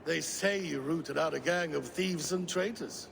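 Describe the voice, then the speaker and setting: An actor playing a proud, scheming Viking jarl who speaks in a grand, theatrical way. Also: male voice, on the high side, unhurried, vocal fry, background noise. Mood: regal.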